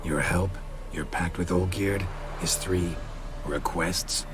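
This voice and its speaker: An actor playing a gruff, laconic monster hunter in a video game, with a gravelly voice and terse, deadpan delivery. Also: male voice, takes it slowly, background noise. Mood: wary, dry. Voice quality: gravelly, low. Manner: terse, deadpan, clipped sentences.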